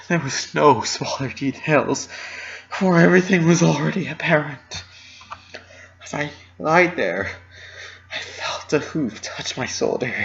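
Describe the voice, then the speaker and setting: A man reading fan fiction dramatically in the first person, with emotional, halting narration. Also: on the high side, creaky, a bit bright, dull audio. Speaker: amateur story reader.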